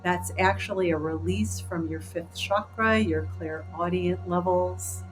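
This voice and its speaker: A woman's voice: fairly low pitch, measured pace, low-quality recording. A woman leading a group through a guided meditation in an informal, encouraging, improvised way. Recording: clear background music under the voice. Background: music.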